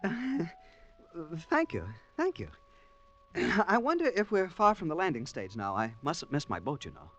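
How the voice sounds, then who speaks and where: A man's voice: on the high side, huge pitch swings, measured pace, a bit bright, dull audio, heavily acted. An actor in a 1940s radio drama playing a polite, timid male traveller swept into heroism, earnest and hesitant in delivery. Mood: nervous. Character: timid, polite male traveller turned infatuated accidental hero. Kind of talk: 1940s radio acting and first-person narration. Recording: restored 1940s radio, faint noise, music.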